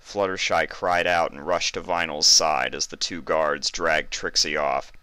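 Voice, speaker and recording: A man narrates a story from a script. His voice is extremely deep, yet bright and forceful, and recorded very dry. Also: fairly even intonation, unhurried, clean tone, no room sound.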